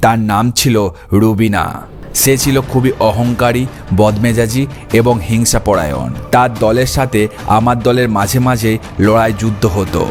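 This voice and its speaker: A man's voice: on the low side, moderate pace, over music, lightly acted. A man playing a dignified army colonel telling a tale in a cartoon. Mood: dignified, reflective. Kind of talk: Bengali cartoon story acting.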